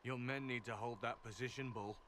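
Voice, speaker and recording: A man's voice: medium pitch, fairly even intonation, a little fast, fairly bright tone, a little hiss or hum, close to an everyday voice. An actor playing a stern, zealous male elf agent in a video game, clipped, commanding and scornful. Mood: urgent, insistent. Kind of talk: dramatic video game acting.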